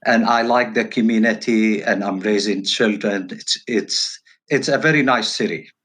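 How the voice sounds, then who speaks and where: A middle-aged man from Turkey answering interview questions casually as a guest, in halting, broken phrases. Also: fairly low pitch, fairly bright tone. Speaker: podcast guest, hairstylist. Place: virtual call.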